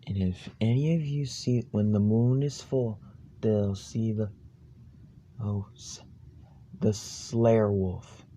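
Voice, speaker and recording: Slow, halting man telling campfire horror. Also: fairly low pitch, steady tone, dark tone. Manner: halting, ominous, stumbling over phrases. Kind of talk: improvised amateur campfire horror storytelling.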